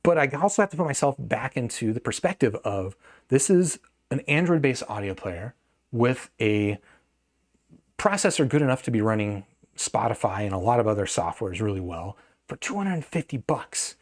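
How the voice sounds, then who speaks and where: A man's voice: mid-range voice, lively intonation, normal speed. A man giving a casual, off-the-cuff product review in a conversational, opinionated way, adding asides as he goes. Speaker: audio gear reviewer and video host.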